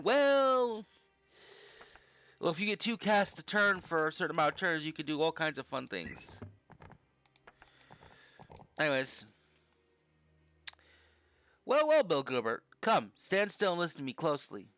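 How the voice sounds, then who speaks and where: A man's voice: on the high side, bright, forceful tone, no echo. A young man giving casual, rambling commentary while playing a game, swearing offhandedly now and then. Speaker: gaming channel host.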